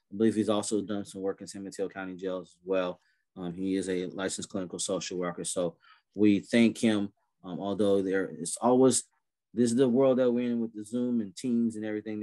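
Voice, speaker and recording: A man in his thirties hosts an online gathering over a video call. He speaks in a lowish voice, easygoing and full of small fillers and restarts. The sound is plain laptop-call audio. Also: warm tone. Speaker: event host. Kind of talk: casual video call hosting.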